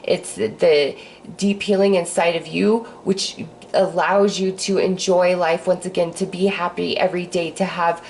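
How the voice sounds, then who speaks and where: A woman with a hoarse voice from a cold, giving a casual, upbeat astrology forecast while pulling tarot cards. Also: lowish voice, steady tone, measured pace, a little mellow, a little hiss or hum, rough recording. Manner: breezy and upbeat, with sighs and fillers. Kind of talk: casual spiritual forecast with card pulls.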